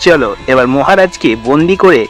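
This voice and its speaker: Male actor as evil cartoon wizard, gloating, pompous, cackling, fairly high pitch. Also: a little fast, soft background music, close to an everyday voice. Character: gloating wicked male sorcerer who usurps the throne. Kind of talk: Bengali fairy-tale cartoon acting.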